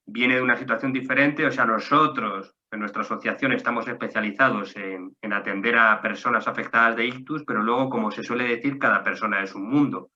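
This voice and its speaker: A man from a stroke association hosting a conversation, explaining resources in a friendly way and spelling out names slowly. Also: medium pitch, fairly even intonation, sharp tone, highs cut off. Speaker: association staff member hosting the talk. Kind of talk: casual conversational presentation.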